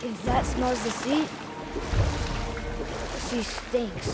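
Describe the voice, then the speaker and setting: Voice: mid-range voice, slow, loud music behind the voice, natural voice. A performer playing an eager, curious, talkative young boy in a video game, speaking in an earnest, chatty way. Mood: curious, wondering. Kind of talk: cinematic video game acting.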